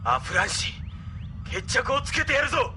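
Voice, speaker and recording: An actor playing a proud, vengeful rival pilot in a Japanese anime audio drama, taunting and forceful. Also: male voice, very high voice, big pitch swings, normal speed, a little hiss or hum, full character voice. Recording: echoing reverb over loud dramatic music.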